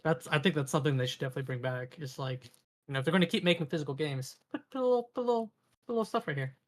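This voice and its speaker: A man's voice: on the high side, racing. A man co-hosting a casual gaming podcast, laid-back and easygoing. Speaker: podcast co-host.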